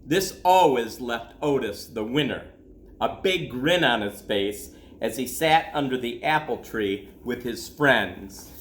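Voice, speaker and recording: Man, children's storybook read-aloud, slow pace, very animated pitch, dramatic pauses, echoey room. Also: a little hiss or hum. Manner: expressive, dramatic pauses, drawn-out words. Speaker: school principal reading aloud. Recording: roomy echo.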